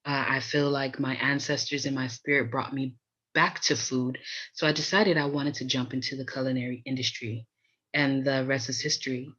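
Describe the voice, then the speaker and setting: A woman's voice: extremely low pitch, fairly even intonation, normal speed, fairly bright tone, slightly rough, highs cut off. An American woman from Miami hosting a podcast, telling personal stories in an introduction. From Miami, USA. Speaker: podcast host.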